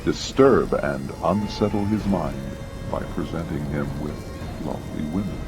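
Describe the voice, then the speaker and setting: An extremely low male voice narrates an audiobook in a formal, measured style. Music is clearly heard underneath the reading. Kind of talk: dramatized scripted narration.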